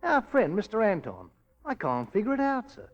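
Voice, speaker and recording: A man's voice: high-pitched, huge pitch swings, moderate pace. An actor playing an eager, puzzled policeman giving colorful, deferential reports in a 1940s radio drama. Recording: muffled vintage radio broadcast.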